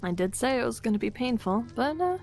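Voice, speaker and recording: A woman's voice: on the high side, very animated, fairly quick, creaky, a little mellow. A woman playing a sly, smug witch in an improvised game roleplay, coy and hesitant, drawing out her teasing phrases. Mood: grudging, dry. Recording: music behind the voice. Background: background music.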